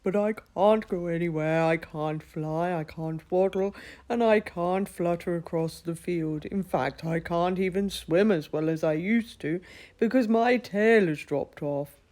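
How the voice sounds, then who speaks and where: A woman's voice: lowish voice, unhurried, darkish tone, natural voice. A woman voicing a timid young male fish who wails and cries in a storybook. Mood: wailing. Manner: wailing, tearful.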